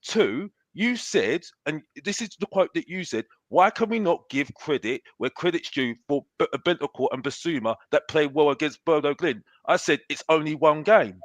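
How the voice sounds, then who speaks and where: High-voiced man stammering his case. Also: animated, moderate pace, few pauses, dry recording. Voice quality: high-pitched voice. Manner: stammering, many restarts when pushed. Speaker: content creator guest. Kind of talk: heated casual debate.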